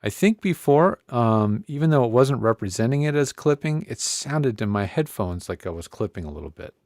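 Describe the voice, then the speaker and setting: A man's voice: medium pitch, sing-song, moderate pace, a little mellow, dry recording. A man presenting a casual hands-on audio gear tutorial, thinking aloud in a conversational way on a close studio microphone. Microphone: close studio podcast microphone.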